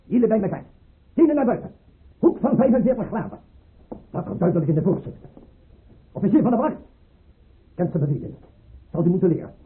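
An actor playing a capricious tyrannical tsar in a Dutch radio play, with clipped orders and muttered asides. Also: male voice, high voice, animated, a little fast, pauses often, breathy edge, phone-quality sound, full character voice. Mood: imperious, enraged. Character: capricious tyrannical tsar, obsessed with drill and buttons. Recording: band-limited old radio play recording.

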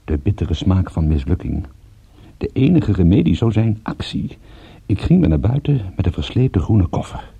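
Weary private eye, low pitch, very raspy, measured grim storytelling, radio drama, narrowband old recording. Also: male voice, sing-song, warm tone, heavily acted. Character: weary, battered male private detective. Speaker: narrator and lead of a radio play. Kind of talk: radio drama first-person narration.

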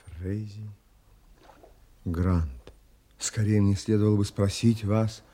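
A man's voice: on the low side, steady tone, slow, mellow, a little hiss or hum. An actor playing a proud, indignant castaway who narrates his sea adventure in an audio drama, dramatic and literary. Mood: bewildered.

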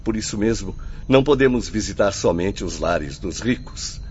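A man's voice: fairly low pitch, animated, normal speed, lightly acted. A man playing an earnest, kindly spirit mentor in a radio drama, with solemn, declamatory delivery. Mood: earnest, uplifting. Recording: muffled, lightly noisy radio play audio.

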